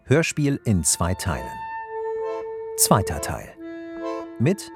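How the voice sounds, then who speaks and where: A man reads scripted radio drama credits as a formal announcer. His voice is low and unhurried with lively intonation, over prominent guitar music. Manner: measured, formal. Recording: loud guitar music behind voice.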